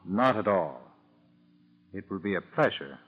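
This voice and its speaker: A reserved, authoritative American FBI chief from a 1940s radio play. His voice sits low and speaks in measured, polite sentences. The sound is dull, vintage lo-fi. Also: big pitch swings. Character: calm, courteous, authoritative male FBI chief.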